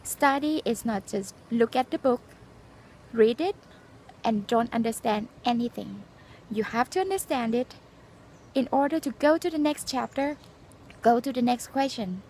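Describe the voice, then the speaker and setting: A woman in her forties from Thailand, speaking English with a Thai accent as a second language, telling her exam story in an earnest, halting way with many fillers. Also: fairly high pitch, a little mellow, a little hiss or hum, low-quality recording. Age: 40s. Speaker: nurse sharing exam experience. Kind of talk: casual personal vlog, storytelling and advice.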